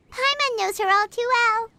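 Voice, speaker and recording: A woman voicing a tiny, excitable fairy companion who speaks of herself in the third person. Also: very high-pitched, heavy background noise, full character voice.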